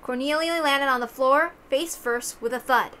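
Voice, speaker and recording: A woman's voice: sits high, normal speed, bright, forceful tone, slight background noise. A woman reading a visual novel aloud and adding casual commentary of her own.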